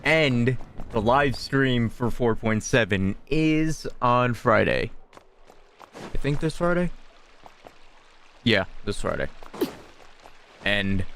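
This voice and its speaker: A man's voice: fairly low pitch, a bit bright, slight background noise. A man giving casual gameplay commentary as a streamer, chatty and full of joking asides. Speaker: game streamer. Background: game music.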